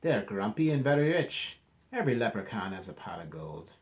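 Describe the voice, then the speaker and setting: A man's voice: lowish voice, very animated, a little fast. A man voicing a jolly old Irish grandfather spinning leprechaun tales in a storybook read-aloud, with emphatic delivery. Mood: playful, emphatic.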